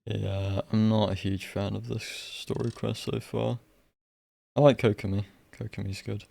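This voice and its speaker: A man giving casual live gameplay commentary as a streamer, blunt and deadpan, in halting phrases. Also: on the low side, vocal fry. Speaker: game streamer.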